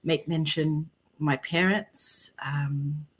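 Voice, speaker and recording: A middle-aged woman raised in Fiji speaks as an interview guest, her voice sitting a little low. She talks at a relaxed, reflective pace, breaking into easy laughter often. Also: a little hiss or hum. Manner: warm, laughs often, thoughtful pauses. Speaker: poet, podcast guest. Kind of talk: conversational interview.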